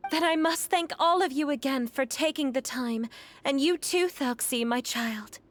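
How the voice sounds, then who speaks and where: Woman acting a higher-voiced, courteous young storyteller. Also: normal speed, slight background noise, lightly acted. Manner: formal, courteous phrasing. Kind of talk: video game voice acting.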